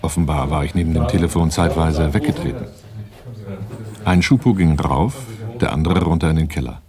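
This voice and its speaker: An actor playing a sober first-person narrator of a radio crime drama, matter-of-fact and restrained. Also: male voice, a bass voice, moderate pace, vocal fry, crowd noise. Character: male crime-story narrator recounting events soberly.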